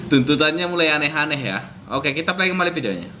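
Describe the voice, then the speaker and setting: A man's voice: a little high, expressive, a little fast, noisy background. A man with an Indonesian accent hosting a reaction video, chatting casually and calling viewers boss. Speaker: reaction channel host.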